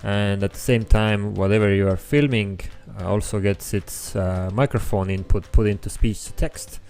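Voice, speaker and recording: A young man with an Estonian accent, his first language Estonian, walks through a coding tutorial in a relaxed way, with frequent ums as he thinks aloud. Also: fairly low pitch, moderate pace. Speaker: app developer tutorial host. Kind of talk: screen-recorded coding tutorial. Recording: faint background music.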